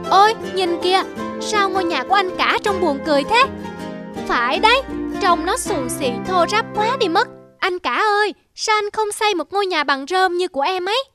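High-voiced, carefree young rabbit. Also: female voice, lively intonation, normal speed, over music. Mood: teasing. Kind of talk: children's cartoon dubbing.

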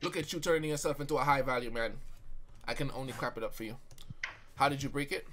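A young man chatting casually on a live stream, slangy and animated as he reacts to viewer comments. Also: mid-range voice, quick pace, very noisy. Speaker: livestreamer.

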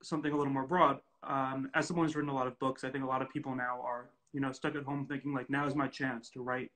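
Bright, forceful young man hosting casually. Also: mid-range voice, little pitch movement, fast. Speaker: host. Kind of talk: casual live interview hosting.

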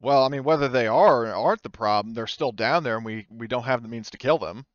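A man's voice: mid-range voice, fast talker, dry recording, lightly acted. A man voicing a frank, concerned female colony administrator in a tabletop space game, in slightly dull close audio. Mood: businesslike.

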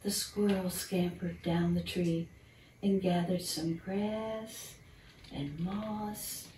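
An older woman reads a children's picture book in a gentle, unhurried, expressive bedtime style, talking to young listeners. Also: lowish voice. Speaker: storyteller reading a picture book. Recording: roomy, distant mic, faint background noise.

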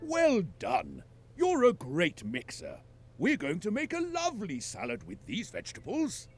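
A man's voice: high-pitched, huge pitch swings, unhurried, soft background music, heavily acted. A man voicing a kindly, jolly father pig in a children's cartoon game, in a fatherly, encouraging manner.

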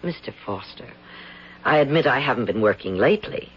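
An actress playing a proud, theatrical out-of-work actress in a 1970s radio drama. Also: female voice, a bass voice, animated, brisk, husky, heavily acted. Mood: haughty. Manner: theatrical, dreamy, haughty. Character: proud out-of-work American actress, woman in her thirties. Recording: muffled old radio broadcast.

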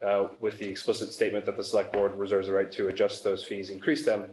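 A man on a town board arguing a point and making a motion at a meeting, brisk and persuasive. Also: lowish voice, level tone, darkish tone, reverberant, poor audio. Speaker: board member.

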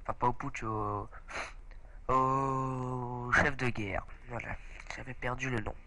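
Brief: excitable teen gamer host, bright voice, slightly stuffed up, faint hiss behind. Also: male voice, medium pitch, takes it slowly. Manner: excitable, chatty, often exclaims. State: a bit stuffy from a cold. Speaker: gaming channel host. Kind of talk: casual let's play chat.

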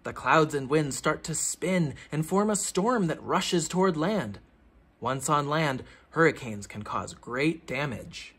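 A man with a fairly high, husky voice reads aloud for children. His pitch swings in a very lively way, with theatrical emphasis and teacherly, explanatory phrasing. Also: takes it slowly. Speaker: children's book reader, teacher. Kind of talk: expressive read-aloud of a children's science book.

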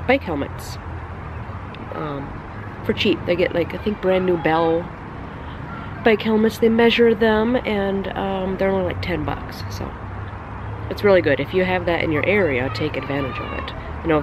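A woman's voice: lowish voice, moderate pace, noisy background. A woman in her thirties with a cold, chatting casually to a handheld camera on an outdoor deck, rambling with lots of ums. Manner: rambling, many ums and restarts. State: stuffed up with a cold. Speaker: family vlogger. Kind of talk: casual vlog chat. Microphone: handheld camera at arm's length.

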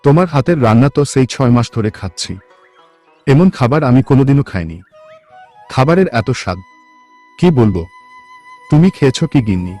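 A man playing a simple, devoted young village farmer in a cartoon, earnest and gentle. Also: medium pitch, lively intonation, fairly quick, long pauses, lightly acted. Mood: affectionate. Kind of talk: cartoon voice acting. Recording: music behind the voice.

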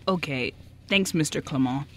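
A woman's voice: deep voice, fairly bright tone, a little hiss or hum. A woman playing a nervous, easily embarrassed young male actor with a hesitant manner, in an amateur radio play. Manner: hesitant, halting.

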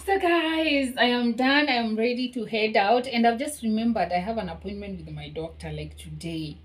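A young woman in her twenties chatting casually to her viewers in a home vlog, her voice slightly hoarse as she is losing it, with lots of fillers and repeated "you guys". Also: a little high, normal speed. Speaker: vlogger. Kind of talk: casual vlog talk to camera. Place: small apartment kitchen and hallway, indoors. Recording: echoey small room with faint music.

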